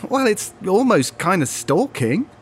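A concerned male shop colleague in an audio drama. His voice is a little high and lively in its rise and fall. He comes across as helpful and conciliatory. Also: measured pace, slight background noise. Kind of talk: naturalistic audio drama acting.

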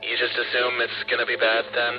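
Through a dull radio filter comes a senior campground employee in a horror audio drama. He is wary and casual, with a dry humor and an average-pitched male voice, over faint music. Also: monotone, a little fast. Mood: uneasy. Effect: two-way radio filter. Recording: dull band-limited radio sound.

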